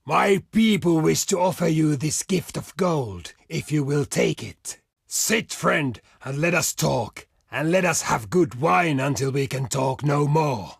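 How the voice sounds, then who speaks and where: Barbarian chieftain for a strategy game: proud, boisterous, husky and gravelly, declaiming slowly and bluntly. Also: male voice, fairly high pitch, lively intonation, no room sound, clean studio sound, full character voice. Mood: hearty, welcoming. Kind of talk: video game character voice lines.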